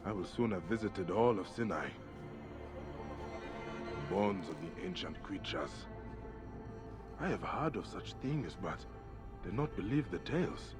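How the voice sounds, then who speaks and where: An actor playing a stoic, determined assassin in a video game, speaking in a measured, terse, earnest way. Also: male voice, low voice, lively intonation, over music. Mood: musing. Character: stoic, determined male assassin on a desert quest. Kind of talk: video game character acting.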